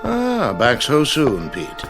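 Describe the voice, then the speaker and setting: A man acting in a radio drama as a genial, traditional-minded club gentleman, hearty and conversational. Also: medium pitch, wildly swooping pitch, takes it slowly, over music, heavily acted. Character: genial, old-fashioned investor at a gentlemen's club.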